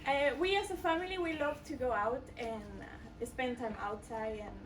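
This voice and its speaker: A woman with a Mexican accent presents a project. She is hesitant and fills her pauses often. Her voice is somewhat high, and she is heard at a distance from the mic. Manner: hesitant, with frequent fillers. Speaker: student presenter. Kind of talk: scripted pitch presentation. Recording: voice from far off the mic.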